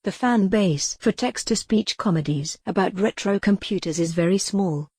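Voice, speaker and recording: A synthetic female text-to-speech voice playing a calm, wry retro computer who thinks she's a cat, deadpan in an audio comedy drama. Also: medium pitch, moderate pace, slightly rough, no echo. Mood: calm, matter-of-fact.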